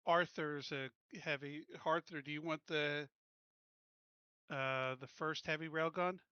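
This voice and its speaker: Bright-voiced man organizing a game, close and dry. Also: fairly high pitch, steady tone, takes it slowly, clear, resonant tone, no echo, highs cut off. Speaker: player assigning crew stations. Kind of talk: casual tabletop game session over voice chat. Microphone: close computer mic, online voice call.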